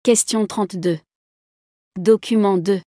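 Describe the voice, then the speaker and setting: A woman's voice: mid-range voice, fairly even intonation, slow pace, dark tone, no echo, studio quality. A woman reading scripted test instructions as an exam announcer.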